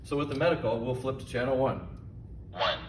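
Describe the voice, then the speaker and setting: A man giving informal safety training instructions in a deliberate, simple, step-by-step manner. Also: mid-range voice, expressive. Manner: slow, deliberate, simple phrasing. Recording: echoey, distant mic, noisy room.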